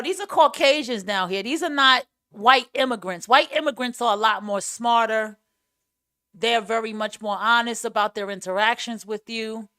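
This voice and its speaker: A woman podcaster with a raspy voice that carries and a clear New York accent. She talks off the cuff in a casual monologue, blunt and opinionated, leaning hard on her points and swearing freely. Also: fairly high pitch, a bit bright. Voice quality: raspy, carrying voice. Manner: blunt, emphatic, profane. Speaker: podcast host.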